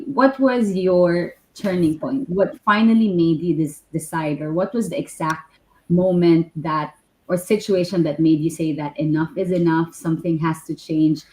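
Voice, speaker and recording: A woman's voice: lowish voice, a little mellow. A woman with a Filipino accent, hosting a live online interview as the presenter. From Philippines. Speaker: host. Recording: echoey room.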